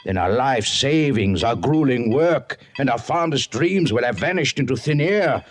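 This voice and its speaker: An actor playing an earnest settler leader from Europe in an old-time radio drama, with formal, biblical phrasing. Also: male voice, mid-range voice, lively intonation, normal speed, close to an everyday voice. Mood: urgent. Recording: noisy old radio recording.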